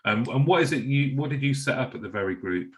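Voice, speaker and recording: A man's voice: mid-range voice, expressive, fast talker. A man hosting a podcast, friendly and conversational, asking long, rambling questions. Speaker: podcast host. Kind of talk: podcast interview chat.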